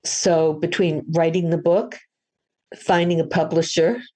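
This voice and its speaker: A woman's voice: lowish voice, steady tone, unhurried, mellow. An older woman from Boston, an author, chatting through her interview answers in a storytelling way, with frequent restarts. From Boston, USA.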